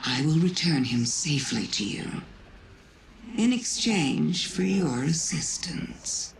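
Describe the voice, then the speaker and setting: Casting: mocking witch queen for a game, silky, breathy, unhurried, echoing over noisy ambience. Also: deep voice, lively intonation, full character voice. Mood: mocking, sly. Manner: languid, sardonic. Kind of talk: video game cutscene dialogue. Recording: echoey, noisy ambience behind.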